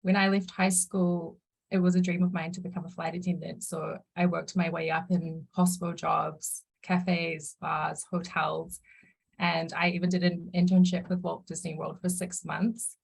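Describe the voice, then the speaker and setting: Woman, 20s, early-career speaker; friendly, eager, low and gravelly. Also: little pitch movement, moderate pace, rough recording. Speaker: mentee and consultant. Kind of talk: personal career story.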